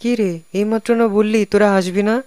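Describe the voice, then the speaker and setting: A man plays a hapless young cartoon suitor. He sounds whiny, plaintive and easily hurt, and there is clear noise behind his voice. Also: high-pitched, fairly even intonation, fast talker, close to an everyday voice. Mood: sulky, embarrassed. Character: whiny lovesick young man, butt of his friends' jokes. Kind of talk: cartoon voice acting.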